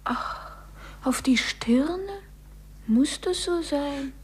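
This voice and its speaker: Slow, breathy, coy young sweetheart. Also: female voice, high-pitched, lively intonation, heavily acted. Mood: coy, enamoured. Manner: coy, lingering, teasing. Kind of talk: 1950s German radio play acting. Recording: 1950s radio recording, music behind.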